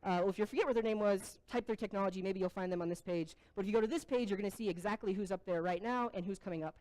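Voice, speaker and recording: A woman's voice: medium pitch, rapid-fire, a little mellow, low-quality recording. A woman hosting a tech showcase, casually walking the audience through a website and introducing speakers. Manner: casual, joking, plain. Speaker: event host.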